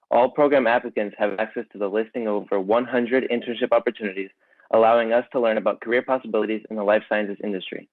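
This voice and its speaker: A man's voice: on the low side, dry recording. A man in his twenties from Staten Island, New York, formally reading a prepared testimonial as a student guest speaker. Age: 20s. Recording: thin phone-like radio-style sound, dry.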